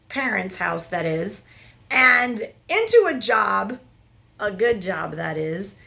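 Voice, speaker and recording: A woman in her forties, a love coach giving frank, animated big-sister advice straight to camera. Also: high-pitched. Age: 40s. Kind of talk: direct-to-camera advice vlog.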